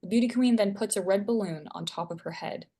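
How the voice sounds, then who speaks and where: A woman's voice: medium pitch, brisk, warm tone, slightly rough. A woman reading stage directions aloud as scripted narration at a screenplay table read.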